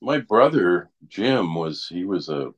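An older man, chatting casually and haltingly, often checking in with "right?". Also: deep voice, big pitch swings. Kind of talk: casual chat.

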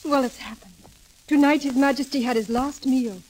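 A woman's voice: sits high, fairly even intonation, moderate pace, a little mellow, low-quality recording. An actress playing an earnest, refined young noblewoman in 1930s radio drama style. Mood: anxious. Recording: old 1930s radio broadcast recording.

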